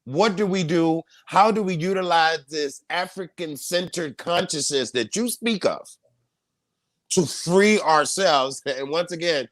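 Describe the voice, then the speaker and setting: Guy hosting a live panel, kinda high voice, punchy preachy repeats, dead dry sound. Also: measured pace, a bit bright, no room sound. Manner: emphatic, repeating key phrases in a preaching cadence. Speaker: host. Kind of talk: live online panel talk.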